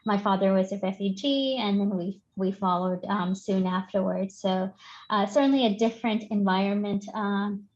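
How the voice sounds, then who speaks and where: A woman in her forties, born in Sri Lanka and raised in Canada, speaking as a guest in a podcast interview, thoughtful with many pauses and restarts. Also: mid-range voice, darkish tone, dull audio. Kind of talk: casual interview chat.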